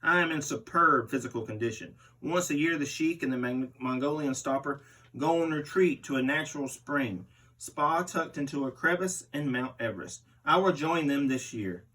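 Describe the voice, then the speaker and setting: A man's voice: mid-range voice, fairly bright tone, close to an everyday voice. Give me a man playing a boastful barbarian wrestler, distant from the mic. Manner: boastful, menacing wrestling promo talk. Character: brutal, boastful male barbarian pro wrestler, self-confessed crazy. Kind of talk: interview read aloud as a wrestler. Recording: distant microphone.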